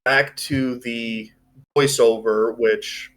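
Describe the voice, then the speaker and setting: A man chats casually as a livestream co-host. His delivery is loose and rambling, peppered with swearing, as he gives his opinions in an ordinary mid-pitched voice. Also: fairly even intonation, very slow, fairly bright tone. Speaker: stream co-host reviewing a movie. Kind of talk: casual movie discussion on a livestream.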